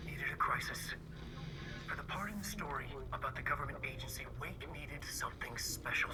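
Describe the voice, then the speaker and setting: An actor voicing a haunted whispering narrator. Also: male voice, mid-range voice, lightly acted. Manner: hushed, whispering and distant.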